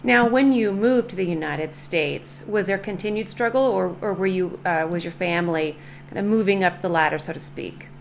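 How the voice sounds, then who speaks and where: Woman radio host, even voice. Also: fairly low pitch, normal speed, vocal fry, slight background noise. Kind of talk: interview.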